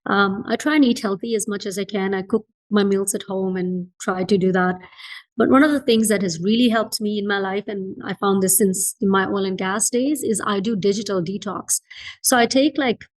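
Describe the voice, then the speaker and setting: A woman's voice: medium pitch, normal speed. A woman from Fiji speaking as an interview guest in a reflective, conversational way, earnest and emphatic, repeating phrases for emphasis. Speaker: entrepreneur being interviewed as a guest.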